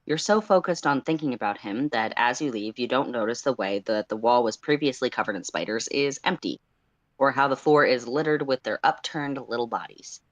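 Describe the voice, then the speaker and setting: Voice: medium pitch, expressive, brisk, poor audio. A person of unclear sex narrating fan fiction and chatting casually as a stream co-host.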